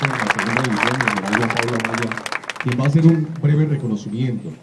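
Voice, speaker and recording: A man's voice: mid-range voice, brisk. A man presenting the next speaker at an event. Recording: distant, echoey, with loud crowd and noise.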